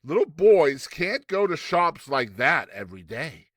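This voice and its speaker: A man voicing a protective gentleman father in a storybook, speaking firmly and emphatically. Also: a little high, sing-song, a little slow, no echo, studio quality, heavily acted. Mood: careful, curious.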